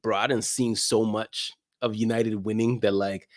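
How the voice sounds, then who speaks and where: A man's voice: lowish voice, flat delivery, normal speed, no room sound, clean studio sound. A middle-aged American man chatting casually as a podcast guest, using slang and repeating phrases for emphasis. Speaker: interview guest, soccer media personality.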